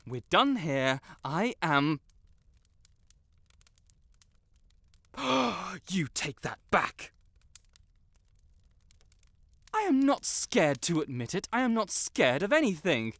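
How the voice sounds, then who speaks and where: A man's voice: sits high, big pitch swings, a little slow, vocal fry, few pauses, a bit bright, no echo, full character voice. A man playing a proud, lovestruck wizard in a romantic audio roleplay, haughty but stammering, blurting things out and backtracking. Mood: defensive, indignant. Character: proud, flustered tsundere wizard secretly in love.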